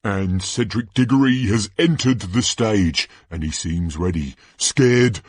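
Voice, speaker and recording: A man voicing a flamboyant, gushing tournament announcer in a storybook reading, with booming, drawn-out delivery. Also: no room sound, studio quality. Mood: breathless commentary. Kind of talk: stage-play sports commentary read aloud.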